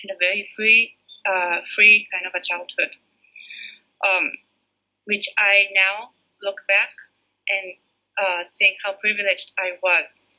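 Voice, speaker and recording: A middle-aged woman from Japan, raised in the United States, a preschool director giving thoughtful interview answers with many pauses. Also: mid-range voice, level tone, bright, forceful tone. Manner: thoughtful, pauses often with fillers. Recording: muffled lo-fi audio.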